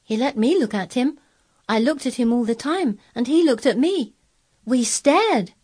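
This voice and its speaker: A woman's voice: fairly high pitch, lively intonation, a little slow, a little mellow, slightly rough. A woman voicing a stubborn, self-possessed young girl who speaks firmly and plainly in a storybook reading. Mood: matter-of-fact. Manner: firm, plain-spoken, earnest.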